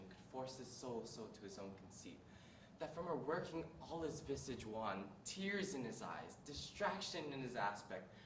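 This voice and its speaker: A man's voice: mid-range voice, natural voice. A teenage boy playing a tormented young prince, reciting a stage monologue that swings from brooding to raging, recorded from a distance in an echoey hall. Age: teens. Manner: builds from brooding quiet to raging shouts. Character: tormented young prince, bitter and self-reproaching. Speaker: student in a monologue contest. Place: school hall. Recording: echoey room, distant mic, faint hiss.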